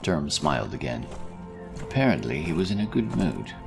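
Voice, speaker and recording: Deep, expressive man narrating fantasy. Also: normal speed, vocal fry, over music.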